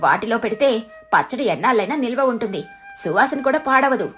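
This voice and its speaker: A woman's voice: fairly high pitch, expressive, fast, fairly bright tone, soft background music. A woman voicing a kindly, encouraging female village bird who organizes everyone in a children's cartoon, speaking expressively. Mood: organizing. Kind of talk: Telugu children's cartoon dialogue.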